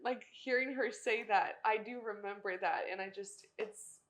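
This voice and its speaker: A young woman whose first language is Russian, co-hosting a casual chat and telling animated stories. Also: mid-range voice, normal speed. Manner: animated storyteller, acts out quotes with laughter. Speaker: podcast co-host, former cheerleader.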